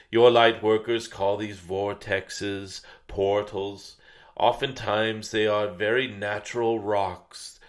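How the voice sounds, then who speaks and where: A man voicing a wise, benevolent ancient dragon spirit in a solemn spiritual message, with measured delivery, pauses and thoughtful hums. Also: lowish voice. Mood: gentle, wondering.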